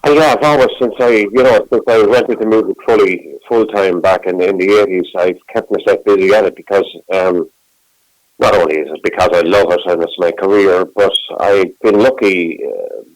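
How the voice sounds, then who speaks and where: Brief: low-pitched middle-aged man on the phone, dry sound, rambling guest chat. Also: moderate pace, no room sound, low-quality recording. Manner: rambling, warm self-talk with many restarts. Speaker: singer, interview guest. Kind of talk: casual phone interview.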